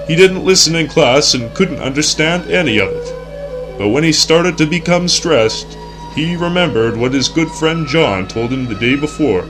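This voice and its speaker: A young man reading scripted narration in the earnest, formal announcer style of a 1960s educational film. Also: on the high side. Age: young adult.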